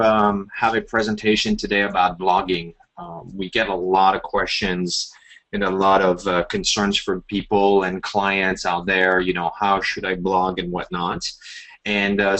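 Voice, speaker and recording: A French-accented man in his forties leads an online presentation. He talks haltingly and fills his pauses with "uh" and "um". His voice sits a little low. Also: a bit bright, rough recording. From France. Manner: halting, many fillers and restarts. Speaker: webinar presenter.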